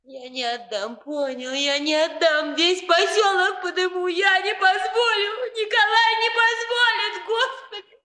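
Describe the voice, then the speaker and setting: High-pitched, fiery young village bride. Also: female voice, fairly even intonation, measured pace, bright, forceful tone, full character voice. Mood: indignant, defiant, then frantic, furious. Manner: emotional, colloquial, exclamatory outbursts. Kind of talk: Soviet 1960s radio play acting.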